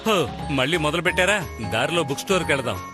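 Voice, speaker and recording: A man's voice: fairly high pitch, lively intonation, rapid-fire, lightly acted. A man voicing a fussy, well-meaning middle-aged dad in a cartoon dub, flustered and exclaiming. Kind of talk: Telugu cartoon dub acting. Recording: loud music behind the voice.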